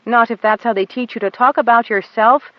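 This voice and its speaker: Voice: medium pitch, fairly even intonation, brisk, dry recording, dull audio, pitched above the performer's own voice, natural voice. Narrator doing a folksy kindly pilot. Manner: warm, folksy, drops g's. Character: kind, blunt airship pilot man.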